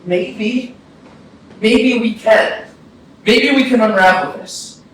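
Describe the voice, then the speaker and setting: Man acting a pleading brother, very high voice. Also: slow pace, fairly bright tone, heavily acted. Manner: pleading, defensive. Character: grieving, apologetic living brother, guilt-ridden and pleading. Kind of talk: staged play reading. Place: arts centre theatre. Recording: very echoey stage, distant mic, faint noise.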